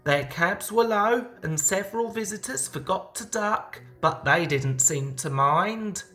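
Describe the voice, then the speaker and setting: Children's storybook reader, female, unhurried and expressive with lively intonation, music underneath. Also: mid-range voice. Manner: expressive read-aloud delivery. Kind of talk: scripted children's story narration.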